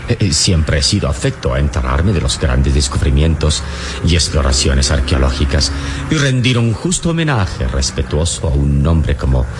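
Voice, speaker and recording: Very deep-voiced, solemn adventurer hero. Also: male voice, sing-song. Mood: courteous, solemn. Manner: grandiloquent, measured, courteous. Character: wise, bold adventurer hero, gallant and solemn. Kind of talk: old radio serial acting. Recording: noisy old radio serial, vehicle drone.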